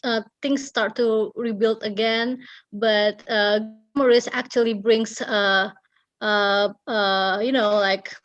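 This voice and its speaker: A woman with an Indonesian accent telling a story casually, often halting as she searches for words. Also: mid-range voice, fairly even intonation, dull audio. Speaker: writer and guest storyteller. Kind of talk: casual storytelling in an online talk.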